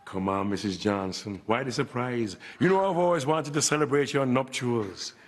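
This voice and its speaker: A man's voice: mid-range voice, moderate pace, close to an everyday voice. Guy acting a dirty-minded pompous jamaican preacher man on stage, suggestive sermon style, really noisy audio. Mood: suggestive, smug. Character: lecherous, bold, pompous male church minister. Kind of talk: broad stage comedy acting.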